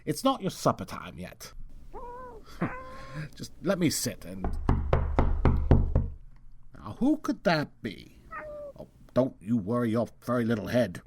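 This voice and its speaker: A woman's voice: extremely low pitch, wildly swooping pitch, slow, long pauses, heavily acted. A woman playing a wary, evasive elderly recluse in an audio drama, hesitant and defensive. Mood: cosy, cautious. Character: wary, evasive elderly person living with a cat. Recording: music behind the voice.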